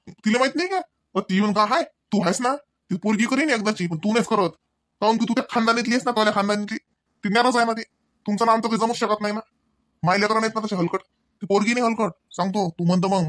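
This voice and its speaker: A man's voice: high voice, dry recording, studio quality, close to an everyday voice. A man playing a cranky older village husband in a Marathi comedy cartoon, with a rural Maharashtrian accent, hectoring his wife with rhetorical questions. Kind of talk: comic cartoon dialogue, domestic argument.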